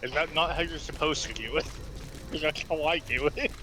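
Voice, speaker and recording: A man's voice: on the high side, moderate pace. A man joking and teasing in a casual chat among friends. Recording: noisy stream audio.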